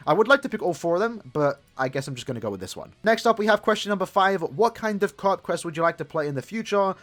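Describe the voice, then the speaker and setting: A young man in his twenties talking fast, with a fairly high voice. He rambles casually through game commentary, addressing his viewers directly. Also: a bit bright. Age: 20s. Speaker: gaming YouTuber.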